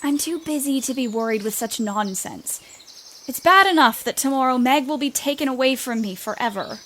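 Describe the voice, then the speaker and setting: A woman plays an outspoken young tomboy in a period radio play. Her high voice swoops around with bossy, earnest emphasis, over faint background noise. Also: animated, normal speed, fairly bright tone. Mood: indignant.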